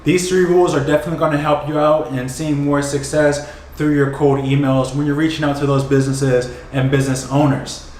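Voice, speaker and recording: A man's voice: fairly high pitch, flat delivery, a little fast, darkish tone, reverberant, slight background noise, rough recording. A young man with a stuffy voice from a cold, energetically teaching at a whiteboard and checking in with "okay?" as he goes. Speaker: business coach. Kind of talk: whiteboard tutorial. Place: bright office by a whiteboard.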